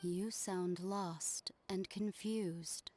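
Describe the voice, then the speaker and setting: A woman voicing a calm, all-knowing, mysterious figure in a fantasy game. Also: fairly low pitch, very slow, no room sound. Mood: serene, mysterious. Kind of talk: slow game voice acting.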